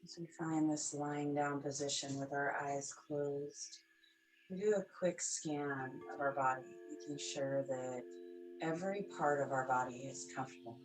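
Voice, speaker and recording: A woman yoga instructor guiding a yoga nidra session, speaking slowly and softly in short, calm phrases. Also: low voice, poor audio. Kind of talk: guided yoga nidra meditation.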